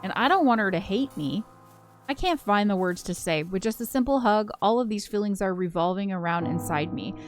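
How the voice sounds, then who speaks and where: A woman reading a visual novel aloud as a let's play host, shifting between expressive narration and casual commentary. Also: mid-range voice, a little fast, soft background music. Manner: giggly, breaks off into casual asides.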